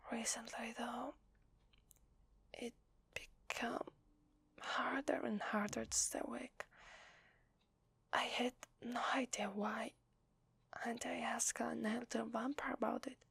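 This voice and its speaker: Weary female vampire, acted by a woman, Italian accent, hesitant and confiding; close, dry ASMR whisper with some gravelly fry. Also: fairly high pitch, level tone, slow, pauses often, a bit bright, no echo, exaggerated voice. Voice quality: breathy whisper. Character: weary, desperate, apologetic young-sounding female vampire.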